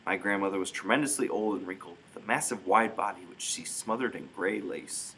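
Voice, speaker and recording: A man reading a story aloud in expressive narration, with dramatic emphasis. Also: deep voice, brisk, slight background noise, low-quality recording. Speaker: storybook reader.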